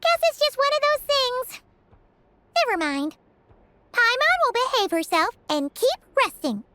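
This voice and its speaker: Tiny female fairy companion, extremely high squeaky childlike voice, lively swoops, earnest game dialogue, music behind. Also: measured pace, slight background noise, heavily acted. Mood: wistful. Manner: refers to herself in third person. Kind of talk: animated video game dialogue.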